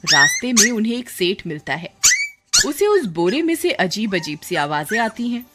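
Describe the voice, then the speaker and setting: A woman's voice: mid-range voice, very animated, normal speed. A woman reading scripted narration for a children's story. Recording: very noisy background.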